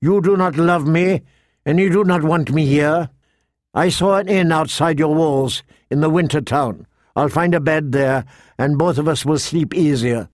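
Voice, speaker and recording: A man's voice: a little high, measured pace, no echo, lightly acted. A man voicing a sardonic, dignified dwarf nobleman in an audiobook, with dry, wry, formal speech. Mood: curt, mocking. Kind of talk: audiobook character acting.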